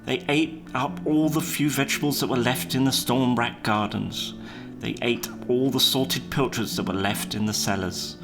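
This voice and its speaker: A man reading a children's story aloud in a measured, expressive storytelling manner. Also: medium pitch. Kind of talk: scripted children's story narration. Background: guitar music.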